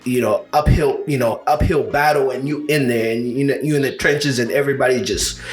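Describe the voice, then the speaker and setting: A man's voice: mid-range voice, steady tone, fairly quick, soft background music. Rambling man in an echoey room. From Uganda. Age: 30s. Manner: rambling storyteller, full of "you know" and restarts. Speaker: co-host of a combat sports show. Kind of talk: casual podcast chat.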